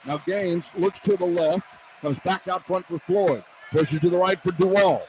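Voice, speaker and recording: A man calling live basketball play-by-play on radio from a noisy arena, in excited, clipped phrases that follow the action. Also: high voice, moderate pace. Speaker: play-by-play announcer. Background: loud arena noise.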